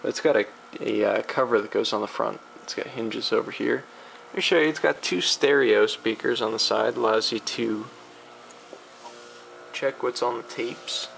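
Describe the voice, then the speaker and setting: A man giving a casual, unscripted demonstration of vintage audio gear, with a gravelly voice and a rambling, halting way of explaining. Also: medium pitch, a bit bright, low-quality recording. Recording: amateur camera recording with background noise.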